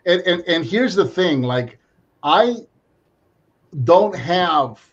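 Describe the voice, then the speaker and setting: A man in casual conversation who talks loud and rambles, tripping over his words and starting again. His voice sits a little high for a man. The audio is muffled and lo-fi. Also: expressive. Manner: loud, rambling, quick restarts. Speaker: podcast co-host. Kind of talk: casual chat.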